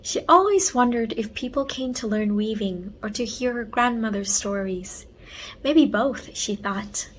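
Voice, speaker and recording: A woman reading a children's story aloud in an expressive storytelling style, carefully enunciated. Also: fairly high pitch, slight background noise. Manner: unhurried, enunciated read-aloud.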